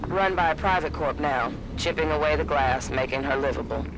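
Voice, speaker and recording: An actor playing a worldly soldier from a frontier colony in a game, briefing in an informal, clipped way. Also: male voice, a little high, a little fast, vocal fry, noisy background. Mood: matter-of-fact. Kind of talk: dramatic game cutscene acting.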